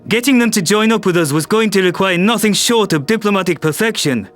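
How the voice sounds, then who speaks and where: A man's voice: high voice, expressive, brisk, a bit bright. A man playing a harried human world leader, tense and exasperated.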